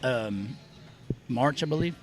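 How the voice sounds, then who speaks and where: A man's voice: medium pitch, expressive, slow, faint music behind. A middle-aged man from Oklahoma and Texas, a musician guest chatting casually and rambling through stories with many restarts at an outdoor festival. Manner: rambling, self-interrupting storyteller. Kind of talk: casual interview chat.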